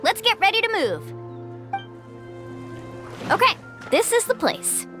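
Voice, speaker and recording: A woman's voice: very high voice, big pitch swings, takes it slowly, pushed and bright, heavily acted. An actress playing a friendly, encouraging young woman guide who leads the group in a video game. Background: game music.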